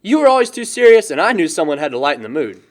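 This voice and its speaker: A man's voice: fairly high pitch, big pitch swings, fast talker. A young man playing a lighthearted, chatty, lovestruck guy in a casual audio drama, joking and rambling. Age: young adult.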